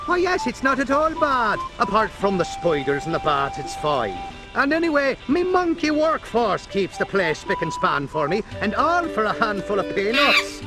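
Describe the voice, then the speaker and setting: A little Irish leprechaun for a video game, chatty and cheerful. The voice sits very high and bounces around in pitch, folksy and lilting, with loud music behind it. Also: male voice, wildly swooping pitch, moderate pace.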